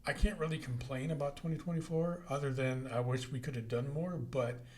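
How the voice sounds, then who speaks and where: A man hosting a real estate podcast, chatting in a relaxed, rambling way with frequent fillers. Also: mid-range voice, a little fast. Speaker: podcast host. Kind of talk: casual podcast chat.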